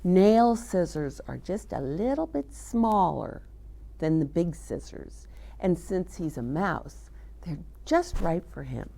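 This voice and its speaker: A woman reads a picture book aloud for young children. Her pitch swings in a lively way and she takes her time over the words. As a storytime host, she is expressive and adds chatty asides to her listeners. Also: slow, gravelly.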